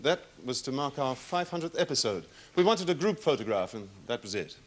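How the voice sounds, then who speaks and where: An actor playing a courteous but guarded television producer giving clipped, polite testimony in a courtroom drama. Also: male voice, mid-range voice, very animated, fairly quick, lightly acted. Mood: cooperative. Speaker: witness.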